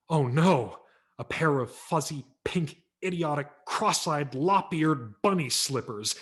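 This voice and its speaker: A male audiobook narrator with a mid-range voice reads a story aloud. His delivery is theatrical and wry, leaning hard on key words. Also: medium pitch. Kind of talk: dramatic scripted story narration.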